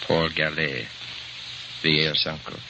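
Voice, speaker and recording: A man acting a composed, knowing bearer of grim news in an old radio drama, speaking deliberately. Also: a bass voice, level tone, gravelly, heavily acted. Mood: grave, pointed. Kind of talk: 1930s-40s radio acting. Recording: muffled old radio recording, heavy hiss.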